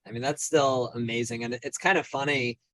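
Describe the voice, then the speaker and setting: A man's voice: mid-range voice, steady tone, fairly quick, a bit bright, no echo. A man hosting a podcast, friendly and upbeat as he introduces and questions his guest. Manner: friendly, upbeat host with repeated words. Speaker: podcast host. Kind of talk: podcast interview.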